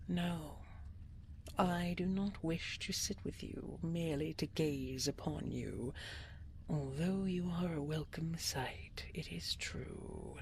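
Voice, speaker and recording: A regal dragon queen, played by a woman, speaks in a low, breathy near-whisper for an intimate audio roleplay. She draws her words out very slowly, formal and coaxing, adoring yet controlling. Noticeable ambient noise sits behind her voice. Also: darkish tone. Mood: tender, wistful. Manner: slow, formal, coaxing endearments.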